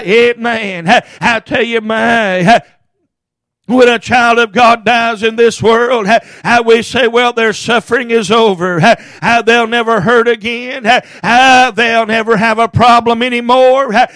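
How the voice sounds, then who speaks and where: Preacher guy, real high voice, chants his sermon w/ "uh, and everything" every line, drags words out, yells now and then, dry close sound. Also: no room sound. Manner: chanted preaching cadence with constant "uh, and everything" fillers; stretches key words slowly and breaks into shouts. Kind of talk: fiery hellfire sermon.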